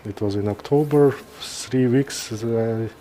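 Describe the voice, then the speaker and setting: Slow-talking man with a Kazakh accent. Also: mid-range voice, dark tone, slight background noise. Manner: halting, pausing mid-sentence. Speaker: neurosurgeon presenting a live case. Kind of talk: informal live-stream commentary.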